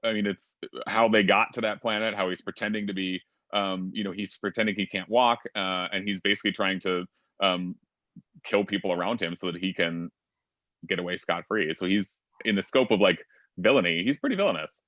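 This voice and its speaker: A man's voice: low voice, a little fast, sharp tone, no room sound. A man on a panel, chatting casually over a video call and filling his talk with ums and sort-ofs. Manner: chatty, full of fillers and hedges. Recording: dull, dry lo-fi audio.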